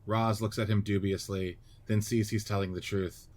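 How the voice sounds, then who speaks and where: A man's voice: lowish voice, level tone, moderate pace, a little mellow. A man giving scripted narration of stage directions and scene headings for a radio-play reading.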